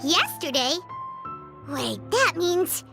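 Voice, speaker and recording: An actress voicing a tiny, childlike, excitable female fairy companion in a video game, squeaky and expressive. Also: slow, gravelly, over music, heavily acted. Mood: surprised. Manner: eager, expressive.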